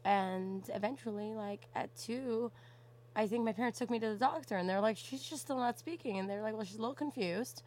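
A young woman from Canada in casual conversation, telling stories in an animated way and often saying "like". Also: medium pitch. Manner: animated storytelling, frequent "like".

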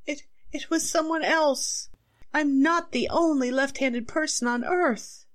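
High-voiced, pleading accused wife, acted by a woman. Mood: distraught. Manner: halting, pleading, anxious. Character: distraught, pleading woman accused of murdering her husband. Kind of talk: 1940s radio melodrama acting.

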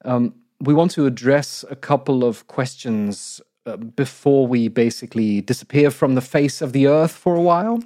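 A slightly croaky man in his thirties co-hosts a podcast, articulate and measured, with frequent laughs. His voice sits at an ordinary pitch. The recording is dry and close, with no room sound. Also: mellow, no room sound. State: slightly croaky, recovering from illness. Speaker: podcast co-host. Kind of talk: casual podcast announcement.

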